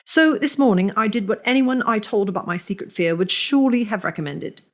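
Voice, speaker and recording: A woman narrating a first-person story in a measured, deliberate reading with lingering pauses. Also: mid-range voice, lively intonation.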